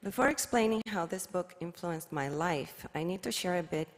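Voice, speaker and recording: A middle-aged woman from Cuba, giving a measured, careful reflection at a service. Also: lowish voice, expressive. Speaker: worship leader. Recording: long hall reverb.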